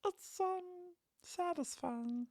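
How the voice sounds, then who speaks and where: A man putting on a high, childish voice imitating his young niece, drawn out and squeaky. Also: animated, takes it slowly, darkish tone, no echo, studio quality, exaggerated voice. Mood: pleased.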